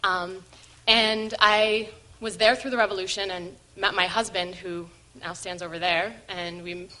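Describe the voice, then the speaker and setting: A woman's voice: mid-range voice, steady tone, unhurried, sharp tone, low-quality recording. A young woman asking a question from the audience, speaking hesitantly with many fillers. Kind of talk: question from the floor. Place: lecture hall.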